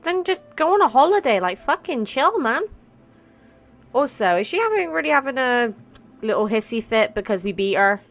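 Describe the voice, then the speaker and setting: High-voiced, irreverent woman doing game commentary. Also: very animated, moderate pace, fairly bright tone. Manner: irreverent, sweary, chatty. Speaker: gaming streamer. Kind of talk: casual let's play commentary. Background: faint game music.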